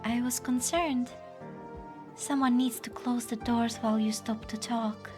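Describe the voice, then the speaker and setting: Slow, sweetly controlling scientist acted by a woman. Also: fairly high pitch, steady tone, close to an everyday voice. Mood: soothing, assured. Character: serenely controlling female scientist, sweetly polite mastermind. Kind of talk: fan-dub game drama acting. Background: clear background music.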